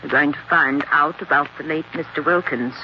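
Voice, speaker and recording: An actress playing a genteel wife with honeyed sweetness and cool menace, speaking in a measured, ladylike 1940s radio manner. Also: low voice, close to an everyday voice. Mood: cold, plotting. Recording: noisy, dull 1940s radio recording.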